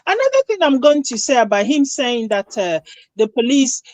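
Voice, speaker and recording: A woman's voice: high-pitched, sing-song, normal speed, darkish tone, no echo. A Ghanaian woman in a group discussion, telling her story emphatically and with indignation. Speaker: panelist. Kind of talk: casual discussion.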